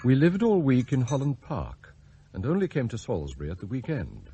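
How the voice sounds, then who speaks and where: An actor playing a scheming, cultured English butler in a radio drama, articulate, pompous and confiding. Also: male voice, mid-range voice, huge pitch swings, fairly quick, warm tone, husky, exaggerated voice. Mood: smug. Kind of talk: radio drama acting, first-person narration and dialogue.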